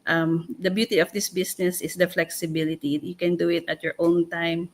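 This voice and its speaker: A woman, talking casually as a livestream co-host, haltingly searching for words. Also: on the low side, flat delivery. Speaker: co-host and panelist.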